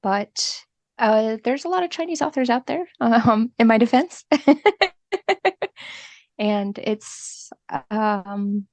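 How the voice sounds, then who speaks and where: A woman's voice: on the high side, slow pace, long pauses, darkish tone, dry recording. A woman chatting casually as a podcast guest, light and self-effacing in the way she talks. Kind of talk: casual chat.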